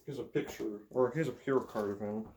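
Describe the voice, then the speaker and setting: A man's voice: mid-range voice, a little fast, a little mellow, slight background noise. A teenage American man with an Upper Midwest accent, talking in a rambling, hesitant way full of fillers and sighs. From United States. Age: teens. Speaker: racing vlogger. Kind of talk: rambling casual monologue.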